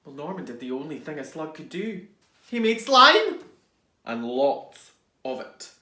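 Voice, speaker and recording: A man reading a children's storybook aloud as a teacher, expressively, with dramatic pauses and hushed asides. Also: fairly high pitch, big pitch swings. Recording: roomy, echoing indoor sound.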